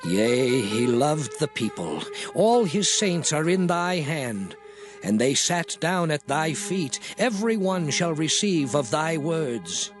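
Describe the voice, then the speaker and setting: A man's voice: on the high side, sing-song, takes it slowly. A man playing Moses, an old prophet, giving a grave, declamatory address in an audio drama. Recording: music clearly underneath.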